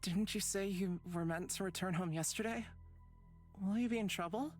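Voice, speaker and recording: A woman voicing a calm, gentle, considerate companion in a visual novel game, soft-spoken and tactful. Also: medium pitch, normal speed, faint music behind, lightly acted. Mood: gently probing.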